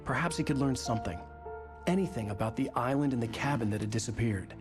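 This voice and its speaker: A man's voice: mid-range voice, fast talker, music in the background, close to an everyday voice. An actor playing a tense, brooding male thriller writer in a video game, terse in speech. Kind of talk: video game acting and scripted narration.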